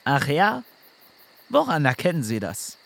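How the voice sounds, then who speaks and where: A man's voice: on the high side, wildly swooping pitch, unhurried, gravelly, a bit bright, heavily acted. A man playing a hungover, sardonic police inspector in a comedic audio drama, groaning and curt. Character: hungover, irritable, hard-drinking male police inspector, sardonic.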